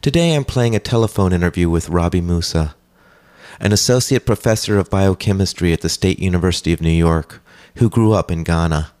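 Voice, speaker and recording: A man hosting a radio interview program, introducing the show and asking questions in a calm, steady announcing manner. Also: low voice, brisk. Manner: calm, steady announcing, with brief back-channel replies. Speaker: radio host.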